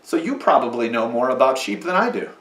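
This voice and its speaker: Lively-voiced man teaching children in church. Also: mid-range voice, fast talker, roomy sound, recorded from a distance. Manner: patient, explaining, sing-song to children. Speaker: children's message leader. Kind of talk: children's sermon with puppet. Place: church sanctuary.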